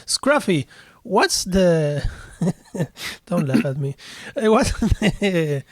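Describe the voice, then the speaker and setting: A man's voice: high-pitched, big pitch swings, extremely slow, no room sound, clean studio sound. A man from Argentina, hosting a casual interview and asking playful, rambling questions. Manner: playful, jokey, asks long rambling questions. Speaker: host.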